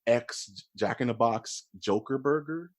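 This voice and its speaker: A man co-hosting a casual podcast, animated and joking, often calling his co-host "dude". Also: mid-range voice, measured pace.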